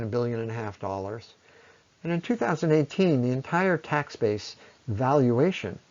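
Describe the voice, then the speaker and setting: A man's voice: mid-range voice, darkish tone. Man giving earnest talk, dull lo-fi sound. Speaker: speaker presenting against a road project. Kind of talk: persuasive public presentation, semi-scripted.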